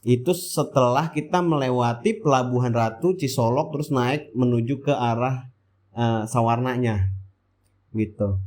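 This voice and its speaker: Guy w jakarta-area indonesian accent, casual vlog voiceover, middle pitch, kinda rambly and colloquial. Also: a little slow, a little mellow, a little hiss or hum. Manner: colloquial, rambling, asks and answers own questions. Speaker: motovlog host. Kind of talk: casual vlog voice-over, chatty and informal.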